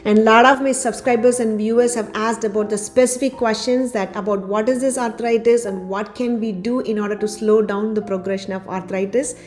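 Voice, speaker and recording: A woman doctor giving a health talk to her channel's viewers, her voice a little raspy after a cold, steadily listing points one by one. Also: a little high, brisk, few pauses. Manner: steady explanatory delivery, lists items one by one. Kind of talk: informal health talk to camera. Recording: faint background music.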